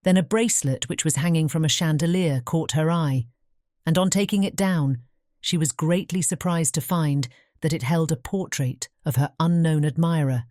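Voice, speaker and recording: Lady reading a bedtime fairy tale, really deep soft-toned voice, audiobook narration. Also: moderate pace, no echo, clean studio sound.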